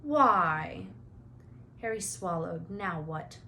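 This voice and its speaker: A woman's voice: medium pitch, moderate pace, slight background noise. A woman narrating a children's book expressively, as a teacher reading aloud.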